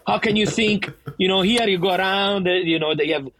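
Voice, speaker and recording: A man's voice: high voice, brisk, a bit bright. A middle-aged man from Italy with a thick Italian accent, a bar owner talking animatedly and emphatically in a casual interview, often restarting his sentences.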